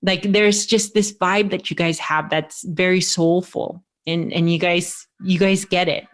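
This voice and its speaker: A woman's voice: fairly low pitch, steady tone, a little slow. An American woman in her forties hosting a podcast, talking casually and rambling, often restarting her sentences. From United States. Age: 40s. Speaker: podcast host.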